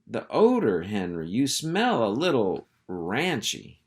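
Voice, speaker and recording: A man's voice: fairly low pitch, huge pitch swings, slow, heavily acted. A man voicing a fussy, genteel female dog, a long-suffering sister and mother in a storybook, sighing and overly polite. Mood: prim, disapproving.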